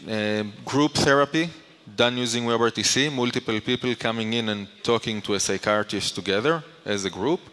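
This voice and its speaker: A man's voice: medium pitch, fairly bright tone. Man presenting in a reverberant hall. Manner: explanatory, poses questions then answers them. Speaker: conference speaker. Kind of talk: conference presentation to an audience. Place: large conference hall. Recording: reverberant hall, long echo.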